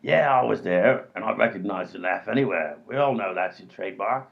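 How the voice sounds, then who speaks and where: A man's voice: on the low side, lively intonation, fairly quick, vocal fry. A man voicing a dim, self-assured robber in a comedy radio play. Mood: blustering. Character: bumbling male robber, blustering, dim. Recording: dull lo-fi audio.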